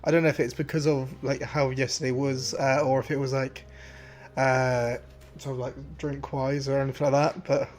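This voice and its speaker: Hoarse, rambling male game streamer. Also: medium pitch, flat delivery, normal speed, darkish tone. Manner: rambling, full of fillers and restarts. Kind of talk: casual gameplay commentary.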